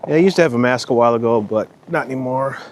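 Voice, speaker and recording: A man with a slight Minnesotan accent chats loosely while walking outdoors at night. He rambles and swears casually, his voice pitched in a middle range. A faint outdoor hiss runs behind him. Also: very fast. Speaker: vlogger.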